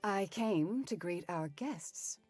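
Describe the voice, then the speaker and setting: A woman's voice: fairly low pitch, animated, takes it slowly, a little mellow, slightly rough, soft background music, heavily acted. A woman voicing a stern, hostile, proud older woman with a cold delivery in a visual novel game.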